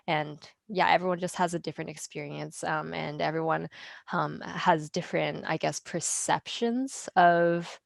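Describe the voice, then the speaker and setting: A woman's voice: deep voice, gravelly, no room sound, clean studio sound. A young woman, originally from Taiwan, chatting as an interview guest about her work as a speech language pathologist, with lots of ums and likes. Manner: chatty, many ums and likes, light laughs. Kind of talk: casual interview conversation.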